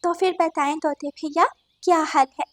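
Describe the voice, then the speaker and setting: A woman's voice: extremely high pitch, steady tone, moderate pace, no room sound, studio quality, voice pushed up. A woman voicing a kind, practical female little bird in a cartoon, polite and encouraging. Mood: polite, concerned. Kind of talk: cartoon character acting.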